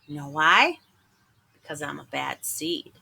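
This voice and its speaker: A woman voicing a small, glum yet earnest bad sunflower seed in a picture book, confiding and dramatic with drawn-out emphasis. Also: sits low, expressive, vocal fry, bright, forceful tone, breathy edge, voice pushed down, full character voice. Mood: confessing, defiant. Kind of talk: picture book narration in first person.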